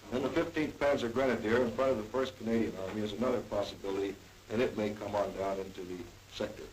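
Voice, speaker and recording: A man's voice: on the low side, fairly even intonation, fast talker, darkish tone. An American man giving a formal, matter-of-fact military briefing as an intelligence officer. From United States. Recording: 1940s newsreel, distant mic, faint hiss.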